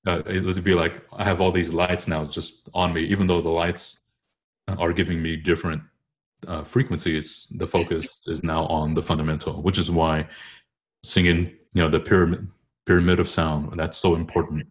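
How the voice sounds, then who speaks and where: A man's voice: low voice, flat delivery, moderate pace. A man explaining vocal technique casually, often rephrasing himself as he goes. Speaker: choral bass singer discussing vocal technique.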